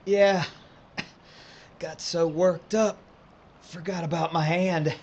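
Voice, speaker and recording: An actor playing a frightened, wounded male gunfighter who talks slowly and hesitantly. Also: on the high side, steady tone, darkish tone. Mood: dying. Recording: dull audio with clear background noise.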